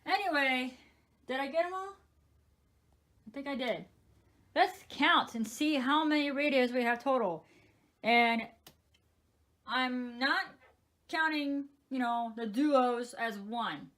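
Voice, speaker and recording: A chatty young woman with a fairly high voice talks through her collection in a relaxed home vlog. She counts items aloud one by one, easygoing and informal. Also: takes it slowly, pauses often. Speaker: weather radio collector. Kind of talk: casual show-and-tell vlog.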